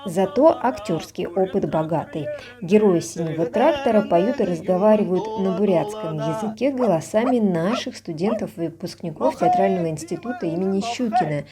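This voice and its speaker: A woman's voice: lowish voice, expressive, moderate pace, hardly any pauses, a crowd in the background. A woman reading a scripted voice-over as narrator of a behind-the-scenes report.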